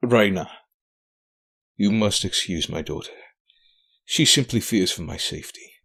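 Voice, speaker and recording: Man playing a slow-spoken, weary older king. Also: on the low side, vocal fry, a bit bright, slightly rough, heavily acted. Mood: grave, reassuring. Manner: formal, measured, stately. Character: weary, dignified older king, gentle father. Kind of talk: audio drama acting.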